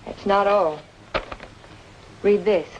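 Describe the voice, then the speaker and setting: A woman's voice: mid-range voice, fairly even intonation, slow pace, muffled recording, slight background noise, natural voice. A woman playing a determined, lovelorn young amateur sleuth in an old-time radio noir drama, speaking earnestly and insistently. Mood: urgent, certain.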